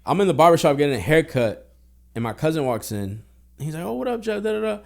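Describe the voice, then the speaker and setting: Fast-talking young man, candid. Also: a little high, big pitch swings, gravelly, darkish tone. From Boston. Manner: streetwise, candid, heavy swearing. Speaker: podcast guest. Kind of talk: casual podcast conversation.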